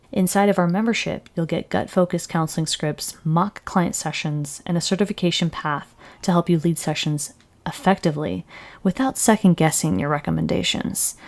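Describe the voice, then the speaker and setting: Creaky-voiced woman leading counseling training. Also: on the low side, darkish tone. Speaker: dietitian trainer and presenter. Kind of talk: instructional mock counseling session.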